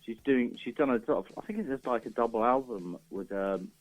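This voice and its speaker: A man's voice: mid-range voice, brisk. A man chatting casually as an interview guest, hesitant and rambling in an informal way. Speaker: radio interview guest, musician. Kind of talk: casual chat. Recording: slightly dull, lo-fi sound.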